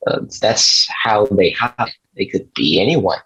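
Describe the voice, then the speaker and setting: A man playing an awkward, earnest driver in a screenplay table read, speaking in a halting, gentle, explanatory way. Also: fairly low pitch, slightly rough, full character voice. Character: awkward, earnest male driver Chaz, kindly conspiracy believer. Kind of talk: table read of a film script. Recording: dull, slightly muffled audio.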